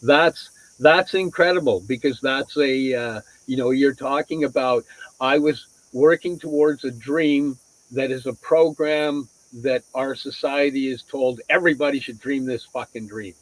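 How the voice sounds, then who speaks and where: A man's voice: mid-range voice, unhurried, dry recording, rough recording. A man hosting a casual interview over a video call, asking curious questions in a loose manner that includes casual swearing. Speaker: host.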